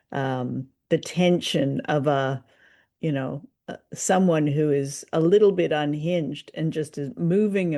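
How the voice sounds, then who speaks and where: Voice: mid-range voice, gravelly, dark tone. Podcast host chatting about radio drama. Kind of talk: casual discussion of old-time radio drama.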